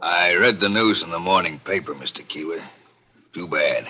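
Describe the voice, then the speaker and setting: Very sharp, deep-voiced tough contractor. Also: male voice, normal speed, breathy edge, exaggerated voice. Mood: blunt, insistent. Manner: blunt, insistent. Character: big, tough, businesslike building contractor calling on client. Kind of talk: 1940s radio mystery drama acting. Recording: vintage radio broadcast.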